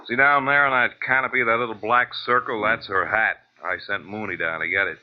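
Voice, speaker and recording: An actor playing a weary, matter-of-fact police lieutenant in a 1940s radio drama. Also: male voice, lowish voice, fairly even intonation, brisk, close to an everyday voice. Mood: casual. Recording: muffled old-time radio recording.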